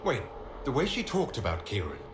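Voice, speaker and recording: A man's voice: medium pitch, wildly swooping pitch, brisk, noisy background. A man playing an earnest, questioning heroic leader in a video game, speaking in a formal, probing manner. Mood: puzzled. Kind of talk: dramatic video game acting. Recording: roomy reverb with music behind.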